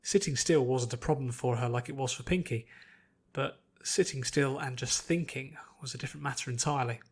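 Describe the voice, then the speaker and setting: A man's voice: medium pitch, brisk, husky. A man reading scripted fiction aloud as an audiobook narrator.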